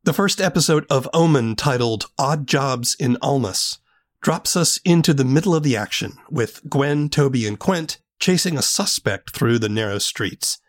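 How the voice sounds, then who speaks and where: A man's voice: medium pitch, lively intonation, normal speed. A man hosting an interview programme, giving scripted introductions and asking questions. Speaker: interviewer and host.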